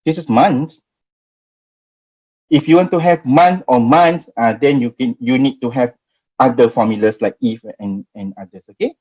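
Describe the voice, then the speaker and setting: A man's voice: mid-range voice, normal speed, husky, dull audio. A man in his forties with a Malaysian accent, teaching a spreadsheet class in a chatty way that keeps checking in with "okay?" and "right?". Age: 40s. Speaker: Excel trainer. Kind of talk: live screen-share spreadsheet tutorial.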